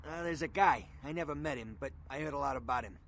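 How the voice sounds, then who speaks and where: A world-weary underworld fixer from a video game. He talks tough and slangy, in a fairly high male register, with faint noise behind him. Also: quick pace, vocal fry, fairly bright tone. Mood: confiding, wary.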